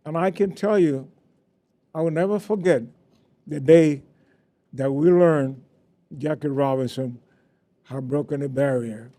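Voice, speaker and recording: Older Cuban man, mellow voice. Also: on the high side, measured pace, pauses often. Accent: Cuban Spanish. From Cuba. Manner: earnest, proud, with restarts. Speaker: panel guest, former baseball player. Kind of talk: recounting memories on a panel.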